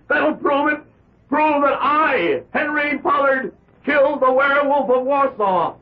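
An actor playing a bitter, glory-hungry juror in a 1970s radio drama, theatrical and vehement. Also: male voice, very high voice, a little hiss or hum. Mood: frantic, insistent. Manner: theatrical, vehement, swinging from brooding to outbursts. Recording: muffled band-limited 1970s radio recording.

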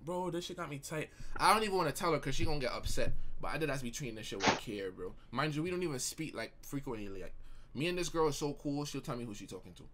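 A young man chatting casually on a live stream, slangy and animated as he reacts to viewer comments. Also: medium pitch, fast, heavy background noise. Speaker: livestreamer.

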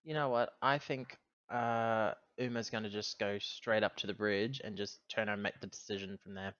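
A man deliberates aloud as a player in a tabletop roleplaying game. His talk is casual and improvised, circling back over his options. The sound is close, dry and slightly muffled, like voice chat. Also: fairly low pitch, steady tone, fairly bright tone, smooth, no room sound.

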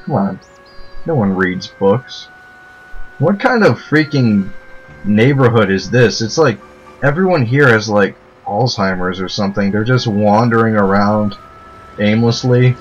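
Young male let's player, deep low voice, loose jokey rambling, faint music underneath. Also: animated, a little slow, muffled recording. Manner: joking, rambling, laughs often. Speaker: let's play commentator. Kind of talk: casual gameplay commentary.

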